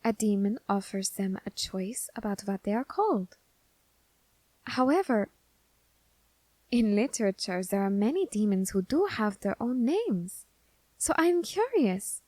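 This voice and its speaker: Woman doing a nerdy eager grim reaper for asmr roleplay, highish voice, really unhurried, fascinated but kinda threatening, close dry sound. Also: big pitch swings, a little mellow, dry recording, full character voice. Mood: curious. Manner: fascinated, deliberate, politely threatening. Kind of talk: ASMR roleplay addressed to the listener.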